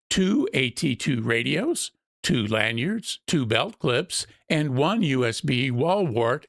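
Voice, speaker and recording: A man's voice: mid-range voice, no room sound, studio quality. A man presenting an unscripted product walkthrough as a review host, explaining things methodically step by step.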